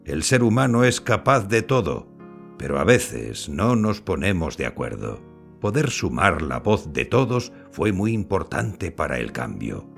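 A man acting a kindly, modest old grandfather and former scientist in an audio drama, explaining things in a measured way. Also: low-pitched, very animated, vocal fry, soft background music, full character voice. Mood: earnest, reflective. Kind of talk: scripted audio fiction acting.

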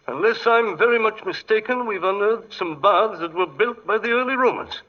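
An actor playing an excitable, fussy English gentleman archaeologist in 1940s radio style, often hesitant, with an English accent. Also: sits high, normal speed, close to an everyday voice. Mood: excited. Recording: muffled 1940s radio broadcast.

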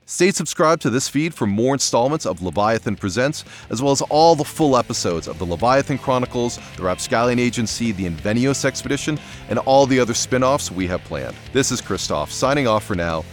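A man's voice: medium pitch, no echo. Male podcast host, scripted listener address, mid register, dry studio sound.

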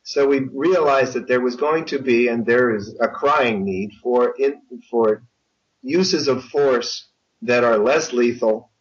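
An older man talking as a guest author in an interview, wry and opinionated, choosing his phrases deliberately. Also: mid-range voice. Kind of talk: conversational interview talk. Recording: dull, lo-fi connection.

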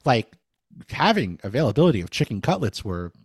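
A man from New York hosting a sports podcast, talking in an animated, chatty way full of "like" and "you know". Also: medium pitch, a little fast, slightly rough, dry recording, clean studio sound. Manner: animated, chatty, many fillers like "like". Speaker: podcast host. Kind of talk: casual sports podcast chat.

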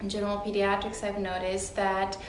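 A middle-aged woman from Romania with a Romanian accent, a pediatrician answering interview questions haltingly with many pauses. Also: mid-range voice, completely flat delivery. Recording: echoey room with faint noise.

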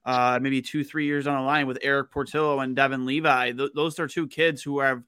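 A man's voice: mid-range voice, level tone, brisk, sharp tone, smooth. A man chatting casually about sports as a podcast co-host.

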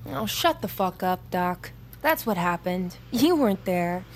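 A woman's voice: on the low side, animated, unhurried, a little hiss or hum. An actress playing a brash, stubborn young woman in army training, with blunt, sarcastic delivery in an audio drama. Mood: sarcastic, playful. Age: young adult. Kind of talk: naturalistic audio fiction acting.

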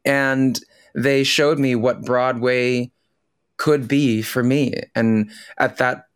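A man's voice: mid-range voice, steady tone, slow, clear, resonant tone, no echo, clean studio sound. A man from the Philippines whose first language is Tagalog, chatting as a guest on an interview podcast, friendly and full of restarts. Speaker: podcast guest, Broadway performer. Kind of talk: casual interview.

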